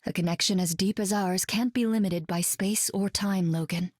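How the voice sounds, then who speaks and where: An actress playing a gentle, earnest psychic heroine in a game. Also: female voice, mid-range voice, steady tone, moderate pace, no room sound, studio quality, natural voice. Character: warm psychic heroine woman.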